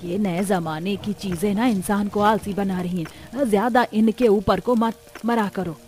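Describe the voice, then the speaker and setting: A woman's voice: mid-range voice, steady tone, measured pace, darkish tone, natural voice. A woman voicing a stingy middle-aged mother in a cartoon story, scolding and firm.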